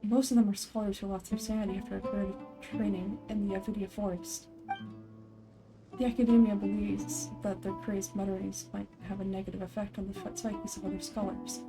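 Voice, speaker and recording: A woman voicing a courteous, weary older male village chief in a game, speaking in a measured, formal way. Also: mid-range voice, close to an everyday voice.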